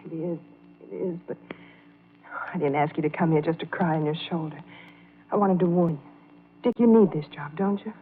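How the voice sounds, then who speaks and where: An actress playing an anxious, unhappy wife of a jealous husband, pleading in old-time radio melodrama style. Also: female voice, sits low, normal speed, long pauses, slight background noise, natural voice. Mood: urgent warning. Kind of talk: 1940s-50s radio melodrama acting. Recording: vintage radio, thin phone-like band.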